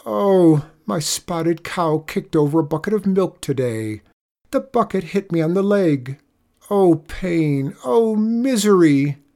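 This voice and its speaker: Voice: mid-range voice, expressive, slow pace, dark tone, pitched above the performer's own voice. An anxious storybook farmer, acted out by a narrator, wails in a raised, swooping voice. Every word is heavy with melodrama and groaning, as if doom is certain. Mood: groaning misery. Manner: wailing, groaning, dramatic.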